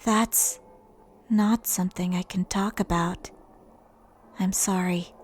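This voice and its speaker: A woman voicing a composed, solemn dead schoolgirl in a game dub, speaking in a measured, formal way. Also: on the low side, fairly even intonation. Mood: composed, aloof. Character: solemn dead high school paranormalist girl ghost. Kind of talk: anime game fan dub acting.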